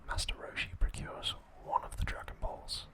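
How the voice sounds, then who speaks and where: A man narrating a scripted ASMR video in a breathy whisper, with deliberate phrasing in short pieces. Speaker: host of an ASMR channel.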